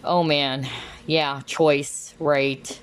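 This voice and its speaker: A woman giving a casual tarot card reading, chatty and animated, often exclaiming and checking in with tag questions. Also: deep voice, extremely slow, a little hiss or hum. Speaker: tarot reader.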